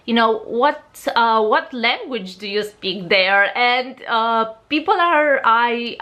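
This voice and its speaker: Young Romanian woman, bright voice pitched a little high, chatty hesitant storyteller. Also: expressive, slow pace. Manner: hesitant, many fillers and restarts. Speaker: vlogger. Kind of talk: casual storytelling.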